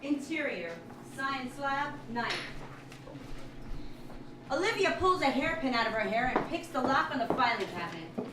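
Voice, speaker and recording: A woman's voice: high-pitched, very animated, normal speed, pauses often. A woman narrator reading out stage directions in scripted narration at a staged reading. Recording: distant, echoey live room with clear noise.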